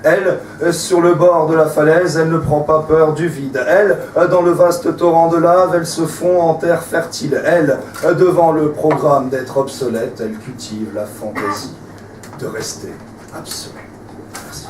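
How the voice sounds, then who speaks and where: A man reciting slam poetry at a live evening, with declamatory, deliberate phrasing and pauses. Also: on the high side, fairly even intonation, darkish tone. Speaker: slam poet. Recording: roomy, distant mic, faint noise.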